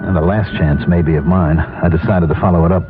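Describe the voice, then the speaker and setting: Male actor for desperate, ashamed jobless husband, middle-aged, low register, 1970s radio drama, narrow tinny sound. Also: steady tone, brisk, natural voice. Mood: bitter, ashamed. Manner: confessional, tense narration and dialogue. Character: desperate, ashamed middle-aged out-of-work family man narrating his downfall. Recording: tinny 1970s radio broadcast, music behind.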